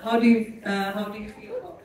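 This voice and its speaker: Friendly female host in a booming hall. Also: expressive, moderate pace. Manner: friendly, teasing, informal. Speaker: event host. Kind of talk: introducing speakers. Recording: echoey hall from far off, crowd.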